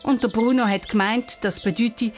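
A woman's voice: fairly high pitch, fairly even intonation, normal speed, music in the background. A woman narrating a scripted children's story in Swiss German as the storyteller.

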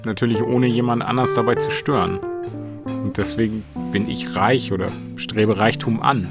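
Man with a low, creaky voice. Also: fairly even intonation, normal speed. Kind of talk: informal reflective interview talk. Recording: prominent plucked guitar music bed. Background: plucked guitar music.

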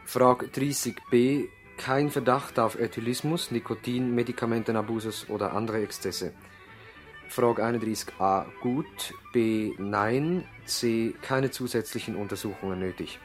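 An actor playing an earnest, courteous doctor at a village practice in a radio play, with a Swiss German accent. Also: male voice, medium pitch, steady tone, unhurried, faint music behind, close to an everyday voice. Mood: businesslike, dictating. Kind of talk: radio play acting, dialogue and dictation. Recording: 1970s radio drama recording.

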